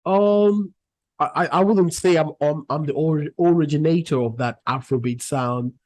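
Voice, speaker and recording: A young man from Cameroon, a music artist, talking as a guest in a casual interview, relaxed and rambling with many restarts. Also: on the high side, normal speed, dry recording.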